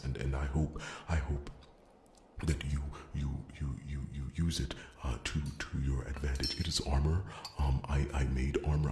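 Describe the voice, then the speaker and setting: A man playing a timid, devoted blacksmith in an ASMR fantasy roleplay, speaking formally and haltingly, often slipping into a breathy whisper. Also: very deep voice, reverberant, noisy background. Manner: halting, stammering, formal and deferential. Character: timid, devoted male blacksmith, earnest and easily flustered. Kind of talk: ASMR roleplay, soft-spoken dramatic monologue.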